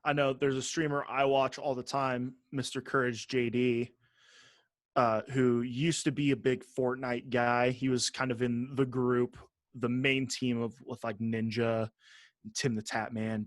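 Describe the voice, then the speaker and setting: A man's voice: medium pitch, dry recording. A man co-hosting a podcast in casual chat, talking bluntly and swearing freely. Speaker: podcast co-host.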